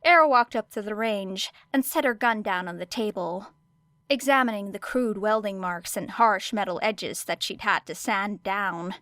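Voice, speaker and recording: A woman's voice: mid-range voice, moderate pace, a bit bright, no room sound. A woman reading scripted fiction as an audiobook narrator.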